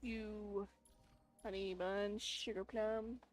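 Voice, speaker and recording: A woman's voice: mid-range voice, level tone, drawn-out words. A woman giving casual gameplay commentary, informally thinking aloud as she plays. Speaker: gamer playing a role-playing game for viewers.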